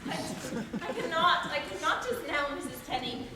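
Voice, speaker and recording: A young woman playing a gentle but defiant committed wife and mother in a school stage play. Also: high-pitched, moderate pace, over a noisy crowd, natural voice. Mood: despairing. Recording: distant echoey school auditorium, noisy.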